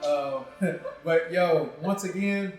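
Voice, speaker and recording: Echoey, higher-voiced man hosting casually. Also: takes it slowly, over music. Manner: informal, playful, teasing, repeats himself. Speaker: talk show host. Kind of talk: casual interview chat, profane joking. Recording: very echoey room, distant mic.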